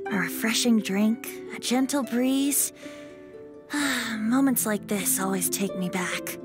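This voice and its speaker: A youthful male bard from a video game, really an ancient wind spirit. The voice is boyish and whimsical, shifting easily between playful teasing and wistful, lyrical storytelling. Music plays clearly behind it. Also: on the high side, slow. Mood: wistful, reminiscing.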